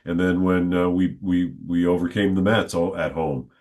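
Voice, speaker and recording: A middle-aged man, a stadium announcer, telling stories in a relaxed, good-humored interview. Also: sits low, normal speed. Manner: good-humored, reflective, talks at length. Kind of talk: casual storytelling in an interview.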